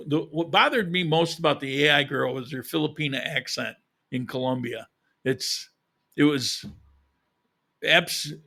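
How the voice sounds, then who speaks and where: A man's voice: fairly high pitch, gravelly, fairly bright tone. A man talking casually to his viewers, opinionated and rambling, with frequent restarts, short of breath and losing his voice. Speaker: vlogger. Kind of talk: casual chat.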